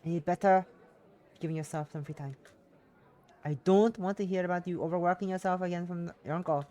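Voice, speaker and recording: A woman's voice: on the low side, fairly even intonation, brisk, mellow, natural voice. A woman voicing a worried, scolding but doting Russian mother with an exaggerated put-on Russian accent, emphatic and nagging, in an amateur drama reading.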